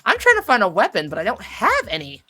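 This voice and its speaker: Animated woman in her thirties, music behind. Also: on the high side, quick pace, breathy edge. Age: 30s. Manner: playful, easily distracted by the game. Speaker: voice actor being interviewed. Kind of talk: casual interview chat while gaming.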